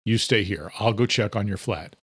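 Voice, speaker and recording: A man's voice: lowish voice, moderate pace, fairly bright tone, husky, no echo, studio quality. A man voicing a protective soldierly brother, firm but caring, in an audiobook thriller.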